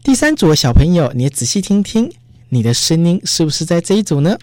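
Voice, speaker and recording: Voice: mid-range voice, sing-song, fast talker, a little mellow, dry recording. A radio host with a Taiwanese accent, chatting directly to listeners in an announcing style.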